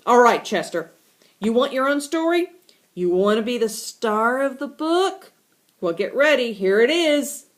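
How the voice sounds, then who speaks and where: A woman's voice: fairly high pitch, sing-song, a little slow. Woman playing a stern, fed-up author. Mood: exasperated. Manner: loud, stern, scolding.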